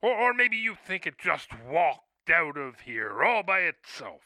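A man's voice: high-pitched, very animated, measured pace, sharp tone, no room sound, clean studio sound, heavily acted. An actor in a radio play playing a sarcastic, brusque male police lieutenant. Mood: suspicious, sarcastic.

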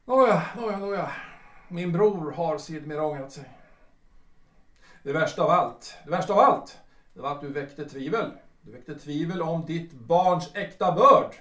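An actor playing a bitter, wounded retired civil servant in his sixties in a period radio drama, speaking formally and haltingly. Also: on the high side, a bit bright, natural voice. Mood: accusing. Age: older. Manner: formal, archaic, halting phrasing. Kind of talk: period stage-play radio drama acting. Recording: roomy, distant from the microphone.

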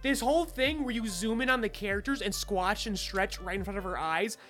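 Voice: medium pitch, fairly quick, a bit bright, faint music behind. An opinionated podcast co-host casually reviewing a film, speaking emphatically and swearing freely.

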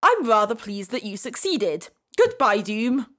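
A woman's voice: fairly high pitch, big pitch swings, unhurried, a bit bright. A woman hosting a podcast, talking casually as she guides the discussion. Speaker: podcast host.

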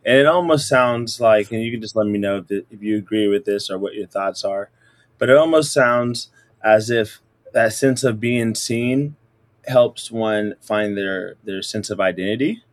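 A man's voice: fairly low pitch, unhurried. A man hosting a podcast interview, praising his guest and asking thoughtful questions. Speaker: podcast host.

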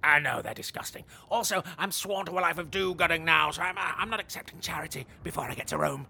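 An actor playing a blunt, reformed evil knight who talks brashly and turns awkward and hesitant. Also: male voice, on the high side, quick pace, gravelly, pushed and bright, a little hiss or hum, exaggerated voice. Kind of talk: comedy audio drama acting.

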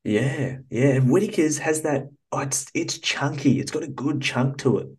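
A man's voice: medium pitch, moderate pace, breathy edge. Upbeat New Zealand man, Australian-sounding accent. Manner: chatty, upbeat, laughs easily. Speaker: podcast host. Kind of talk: casual interview chat. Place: small cubby.

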